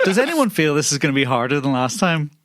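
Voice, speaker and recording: A man's voice: on the high side, sing-song, fast, very noisy. A man chatting casually and jokily as a cast member of a comedy show. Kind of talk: casual joking chat.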